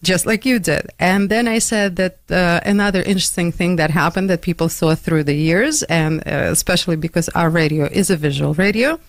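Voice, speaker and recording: A middle-aged woman hosting a radio interview in a studio, chatty and quick to laugh, with many fillers. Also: lowish voice, no room sound. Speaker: radio host. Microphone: studio microphone.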